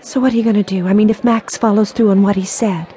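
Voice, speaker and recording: An actress playing a trusting, lovestruck young woman in an audio drama, soft and earnest. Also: mid-range voice, steady tone, quick pace, some chatter behind, natural voice. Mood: hopeful. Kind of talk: radio drama acting.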